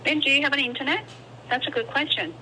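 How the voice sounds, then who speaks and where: A woman with a bright, forward voice conducts a phone survey, politely persisting with scripted questions and hesitant ad-libs. She comes through a phone's speaker, with some background noise. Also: sits high, fast talker. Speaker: telephone survey interviewer. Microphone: phone call picked up by a camera in a garage. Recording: noisy phone call over speakerphone.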